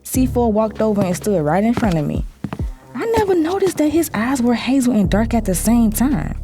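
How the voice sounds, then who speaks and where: Lively, sassy American woman narrating over music. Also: on the high side, normal speed. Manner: sassy, gossipy, confiding. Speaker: first-person narrator of an audio drama. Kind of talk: dramatic first-person storytelling, conversational. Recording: music bed behind the voice. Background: clear background music.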